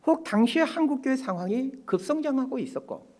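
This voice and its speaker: A man in his fifties preaching a sermon as a pastor, in measured phrases with pauses and emphatic stresses. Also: very high-pitched, animated, darkish tone. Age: 50s. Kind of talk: sermon, prepared and reflective.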